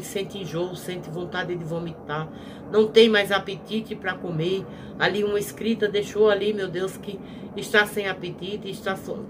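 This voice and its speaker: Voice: medium pitch, background noise. Fervent healing prayer voice. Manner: pleading, rising loud on pleas and falling soft on each "Jesus"; rhythmic, repetitive invocations. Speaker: prayer leader.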